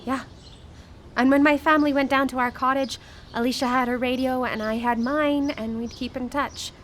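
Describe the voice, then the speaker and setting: A woman's voice: sits high, steady tone, moderate pace, a bit bright. A woman acting a grieving, short-tempered widow in a live radio drama, speaking with emotional urgency. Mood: wistful. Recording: live radio broadcast with background noise.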